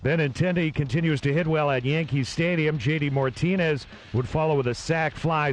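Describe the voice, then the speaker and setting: A man of middle pitch walks through a game recap in a steady, matter-of-fact way. There is faint noise under his voice. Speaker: recap host.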